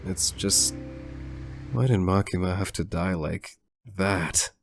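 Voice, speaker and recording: Low, serious young man an actor's role. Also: slow pace, gravelly, over music, exaggerated voice. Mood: earnest, measured. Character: serious, composed young man, politely earnest. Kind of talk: anime fan-dub acting.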